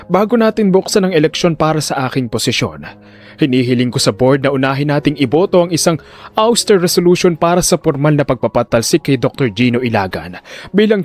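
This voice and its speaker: A man playing a falsely courteous hospital director in an audio drama, formal and soft-spoken, feigning regret. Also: a little high. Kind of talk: Filipino audio drama acting.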